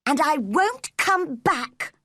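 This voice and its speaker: A woman voicing a cross, stubborn young girl with a sharp, scolding manner. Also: sits high, lively intonation, slow pace, no room sound, studio quality, pitched above the performer's own voice, exaggerated voice. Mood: defiant.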